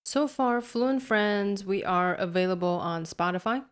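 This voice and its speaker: A woman from Taiwan whose first language is Mandarin Chinese, speaking English with a Taiwanese accent with an American tinge as an earnest, warm podcast co-host and English teacher. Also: medium pitch, measured pace, smooth. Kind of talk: casual podcast chat.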